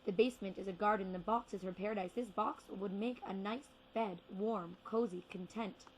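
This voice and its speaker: A young woman performing spoken word poetry with deliberate, rhythmic phrasing and repeated words. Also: mid-range voice, slight background noise, poor audio. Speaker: slam poet.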